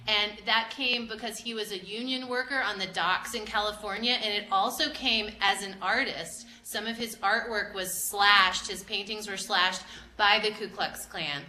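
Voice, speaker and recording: Bright, echoey woman teaching an art discussion. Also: medium pitch. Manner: encouraging, prompting with questions, repeats answers back. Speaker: museum educator teaching children. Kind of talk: interactive art discussion with a class. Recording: roomy echo, light background noise.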